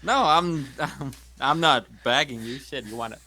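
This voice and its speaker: A man's voice: mid-range voice, moderate pace, bright, forceful tone. A man casually improvising in character at a tabletop game, speaking bluntly and with swearing. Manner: blunt, profane.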